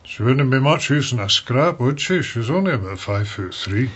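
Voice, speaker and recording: An actor playing a grumpy, confused older father, halting and grumbling in a radio drama. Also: medium pitch, expressive, a bit bright, highs cut off, slight background noise, close to an everyday voice. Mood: grumpy, sardonic. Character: grumpy, confused elderly father, stubborn and sardonic.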